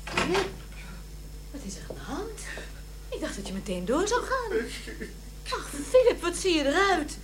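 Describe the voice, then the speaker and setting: A woman's voice: very high-pitched, huge pitch swings, normal speed, pauses often, crowd noise, heavily acted. An actress playing an emotional, emphatic woman in a domestic audio drama. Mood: concerned. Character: exasperated, caring woman at home, talking to her man.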